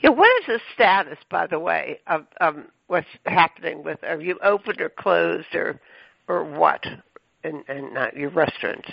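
A man's voice: on the high side, moderate pace, creaky, slightly rough, no echo. A man hosting a radio interview, talking in a rambling, effusive way with many fillers and restarts. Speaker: radio show host. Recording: dull lo-fi radio sound, dry.

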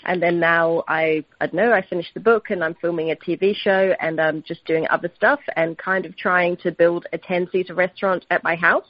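A woman answers interview questions in a soft, modest way. Her voice is husky and breathy. The recording is dry and dull, with a lo-fi radio sound. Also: on the low side, steady tone, brisk, hardly any pauses, no echo. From New Zealand. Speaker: chef, interview guest.

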